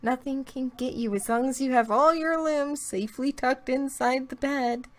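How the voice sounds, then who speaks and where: A woman's voice: high voice, moderate pace. A woman guest in a casual chat about horror stories, playful and chatty, joking and swearing now and then. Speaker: guest co-host.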